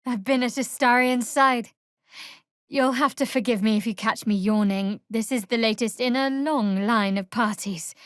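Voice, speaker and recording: Fantasy game heroine, played by a woman: young cleric of a dark goddess, fairly high voice, sardonic, dry, guarded, studio-dry sound. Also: no room sound, close to an everyday voice. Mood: wry, dry. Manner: measured, dry, wry delivery. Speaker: video game voice actor. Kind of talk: scripted fantasy game dialogue.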